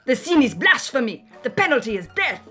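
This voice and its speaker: A woman's voice: high-pitched, big pitch swings, brisk, sharp tone, soft background music, pitched above the performer's own voice, exaggerated voice. A woman voicing a fanatical, outraged male cardinal who screams theatrical denunciations.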